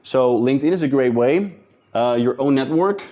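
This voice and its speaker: Dutch-accented male coach, informal straight talk, warm-dark voice, a little lo-fi. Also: medium pitch, lively intonation, moderate pace, slight background noise. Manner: direct, informal, addresses viewers as guys. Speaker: business coach. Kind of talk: casual talking-head advice video.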